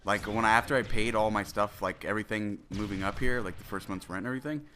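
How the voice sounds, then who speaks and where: A man's voice: on the low side, quick pace, bright, forceful tone, slight background noise. A man in his twenties with a cold and a nasal, stuffy voice, rambling through a solo commentary and often breaking off mid-sentence to restart. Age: 20s. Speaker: gaming commentator.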